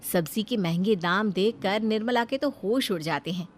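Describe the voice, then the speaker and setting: A woman's voice: mid-range voice, moderate pace. Woman narrating a children's story.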